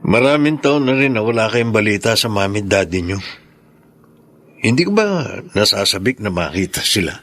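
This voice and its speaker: A man's voice: on the low side, lively intonation, moderate pace, a bit bright. A man acting an easygoing, considerate husband who runs a business, in a Tagalog radio drama. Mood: concerned, curious.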